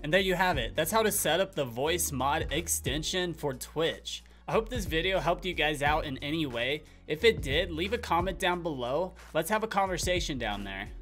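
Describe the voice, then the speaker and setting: A young man hosting a YouTube tutorial, speaking straight to viewers in clear step-by-step, upbeat scripted instructions. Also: on the high side, lively intonation, moderate pace, few pauses, a bit bright. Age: young adult. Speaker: tutorial video host. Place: small indoor room at a desk. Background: faint background music.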